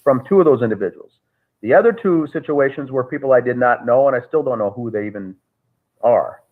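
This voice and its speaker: Male guest, call audio, tinny and narrow; steady middle pitch, careful telling with restarts. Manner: measured, halting with restarts. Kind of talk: recounting events in a remote call.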